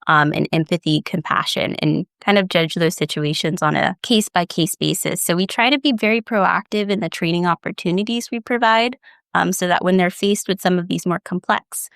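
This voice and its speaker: A woman explains her work in calm, well-ordered sentences. There is a slight gravel of fry in her voice, though it stays smooth and resonant, and her pitch sits a little on the low side. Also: moderate pace, dry recording, clean studio sound. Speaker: HR guest. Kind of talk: conversational interview answers.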